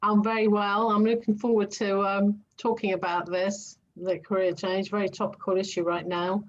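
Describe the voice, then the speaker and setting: A woman's voice: mid-range voice, level tone, moderate pace, dull audio. A Greek-born woman, a native Greek speaker, answering interview questions as a guest coach, with many ums and restarts. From Greece. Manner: hesitant, many ums and restarts, light laughs.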